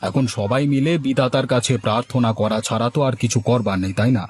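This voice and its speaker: A man's voice: mid-range voice, fast, lightly acted. A man voicing a folksy male village farmer. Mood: concerned. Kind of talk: cartoon moral-story dialogue.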